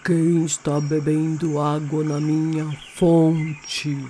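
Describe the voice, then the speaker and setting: A woman voicing a menacing, hungry guardian of a fountain, slow and commanding, in a children's story. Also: flat delivery, mellow, slight background noise. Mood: angry, menacing. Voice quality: deep, put-on low voice.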